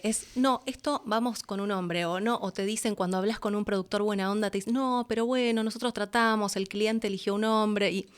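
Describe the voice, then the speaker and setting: A woman's voice: mid-range voice, clear, resonant tone. A woman with an Argentine accent talking expressively and colloquially as an interview guest. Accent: Argentine Spanish. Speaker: guest, voice actress and announcer. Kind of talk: conversational interview.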